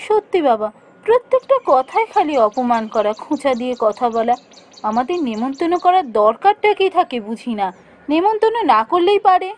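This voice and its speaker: A woman's voice: high voice, very animated, fairly quick, darkish tone. A woman voicing a poor, sensible, caring village wife in a cartoon, with a plaintive, coaxing way of talking. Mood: consoling. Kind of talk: cartoon voice acting.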